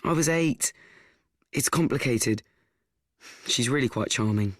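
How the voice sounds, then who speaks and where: A man acting a frank, awkward teenage boy narrating his life in a radio play, deadpan, confiding and self-deprecating. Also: medium pitch, a little slow, a little hiss or hum, natural voice. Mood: wry, confiding.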